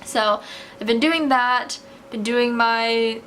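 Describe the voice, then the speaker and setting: Young woman rambling to her camera, kinda high voice, lots of likes and ums, voice getting tired, faint hiss behind. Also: slow, fairly bright tone. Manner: rambling, many filler "like"s and "um"s. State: voice tiring from talking. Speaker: vlogger. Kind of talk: casual chat to camera.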